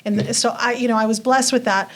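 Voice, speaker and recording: A woman's voice: a little high, fairly even intonation, brisk. Chatty woman guest telling her story. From Mexico. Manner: chatty, lots of fillers like "you know" and "like". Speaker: interview guest. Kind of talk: casual storytelling.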